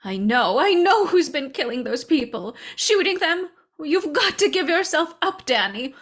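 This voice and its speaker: A frantic mother in an old-time radio play. Her voice is high and swoops widely, trembling as she pleads. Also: sing-song, a little slow, a bit bright, heavily acted. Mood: frantic pleading. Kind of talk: 1930s radio drama acting.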